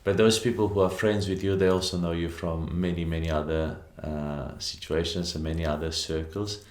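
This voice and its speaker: A man in his forties hosts a faith interview with a very low voice, friendly and prompting. He sits in a book-lined studio with a table mic, and the room adds a roomy echo. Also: level tone, normal speed. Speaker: podcast host.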